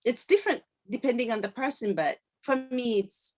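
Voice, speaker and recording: A woman's voice: on the high side, normal speed. A middle-aged woman from Japan with a Japanese accent, a native Japanese speaker, chatting enthusiastically as an interview guest with hesitant phrasing. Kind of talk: casual conversational interview.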